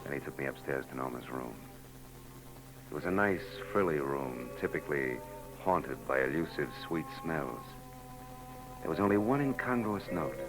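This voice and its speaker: A man's voice: a bass voice, lively intonation, moderate pace, rough recording. An actor playing a hard-boiled private eye who narrates his case in a 1940s radio drama, wry and world-weary. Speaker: narrator and lead. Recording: old radio broadcast with background music.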